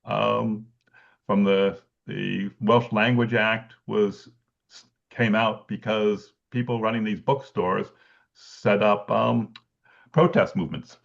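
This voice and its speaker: A male researcher speaking thoughtfully on a video call, pausing and restarting often with light laughs. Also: lowish voice, steady tone, creaky. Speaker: bookselling researcher guest. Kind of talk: conversational explanation. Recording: dull lo-fi call audio.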